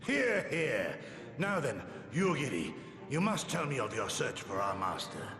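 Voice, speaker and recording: Venerable resistance fighter for fantasy game; formal, dignified, devoted, with expressive swings and long hall reverb. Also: male voice, medium pitch, unhurried. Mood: eager. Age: older. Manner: archaic, dignified, theatrical. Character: aged male resistance warrior, proud, formal, devoted to his master. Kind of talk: scripted game voice acting in archaic formal English. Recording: echoey hall reverb under clear music.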